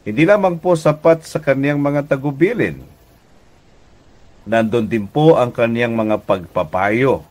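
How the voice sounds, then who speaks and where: A Filipino man preaching a devotional radio message as pastor and host, deliberate and emphatic, often checking in with listeners. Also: mid-range voice, animated, a little mellow. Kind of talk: devotional radio sermon in conversational teaching tone.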